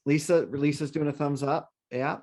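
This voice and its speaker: A man's voice: a little high, steady tone, moderate pace, darkish tone. A man presenting an online workshop in casual talk, friendly and rambling, often restarting his sentences. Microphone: video call.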